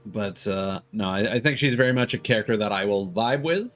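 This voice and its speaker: Male game streamer, low voice, loose rambling chat with chuckles, music playing behind. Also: brisk, a bit bright. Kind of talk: casual livestream chat over gameplay.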